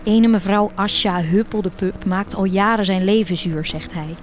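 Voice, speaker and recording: Woman as schoolgirl storyteller for radio drama: earnest, proud daughter, measured delivery, mid-pitched, clear music bed underneath. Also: steady tone, natural voice. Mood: earnest, recounting. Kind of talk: first-person narration in a radio play.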